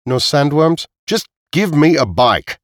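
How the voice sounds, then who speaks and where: A man's voice: on the high side, sing-song, slow pace, no room sound, studio quality, voice pushed up. A man voicing a sarcastic, skeptical woman with a challenging manner in audiobook dialogue.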